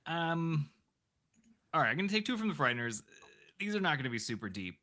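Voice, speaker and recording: A man's voice: fairly high pitch, sing-song, fairly quick, sharp tone. A man chatting casually as co-host of a podcast. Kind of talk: casual chat.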